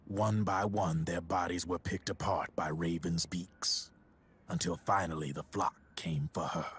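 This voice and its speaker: Wry game gun-runner, husky and low-pitched; with a dry, knowing tone. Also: male voice, a bit bright, full character voice. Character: sly middle-aged male arms dealer, wry.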